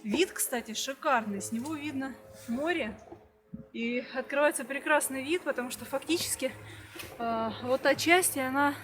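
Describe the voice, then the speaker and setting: A woman's voice: high-pitched, moderate pace, noisy background. A woman vlogger chatting casually about the sights on a trip, fond of diminutive words. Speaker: travel vlogger. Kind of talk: casual travel vlog chat.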